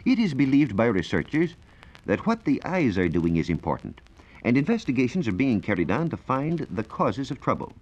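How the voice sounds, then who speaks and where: An American man working as a science reporter gives a formal, measured, scripted explanation in the style of 1940s educational radio. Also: mid-range voice, sing-song, a little mellow. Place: radio studio. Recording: dull, lo-fi 1940s radio recording.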